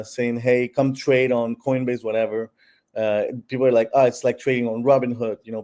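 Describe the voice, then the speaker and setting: A man's voice: medium pitch, mellow. A man from Peru, a company founder answering interview questions in an explanatory way with many fillers and restarts. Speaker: founder of a bitcoin platform.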